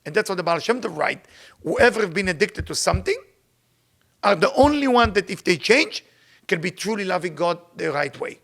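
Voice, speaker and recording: Israeli guy teaching kabbalah, gravelly bright voice, keeps hammering the same words. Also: high voice, animated. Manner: emphatic, repeats phrases for stress; rapid recitation when reading Hebrew text. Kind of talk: informal spoken teaching with storytelling.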